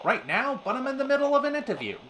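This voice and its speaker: A man playing a short-tempered, wisecracking talk show host in an old-time radio drama, fast-talking in theatrical period slang. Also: very high-pitched, very animated, noisy background. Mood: polished, irritated.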